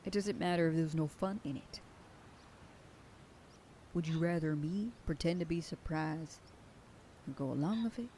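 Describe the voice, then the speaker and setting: Woman voicing a stern but loving werewolf sheriff girlfriend, soft whispers, ASMR roleplay, faint noise behind. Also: sits low. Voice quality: soft whisper in quieter passages. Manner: scolding, then softening into tender whispers. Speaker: voice actor in an audio roleplay. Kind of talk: ASMR roleplay, speaking to the listener.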